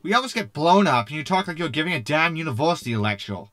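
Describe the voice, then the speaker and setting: A man's voice: fairly high pitch, big pitch swings, quick pace, fairly bright tone. A man playing a sardonic, know-it-all hologram in a sci-fi sitcom audio drama, pompous and wordy. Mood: irritated.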